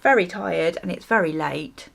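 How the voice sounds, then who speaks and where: Creaky-voiced woman, rambling casually. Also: lowish voice, normal speed, fairly bright tone, slight background noise. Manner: rambling, self-interrupting, chuckly. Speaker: vlogger. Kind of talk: casual storytime chat while getting ready.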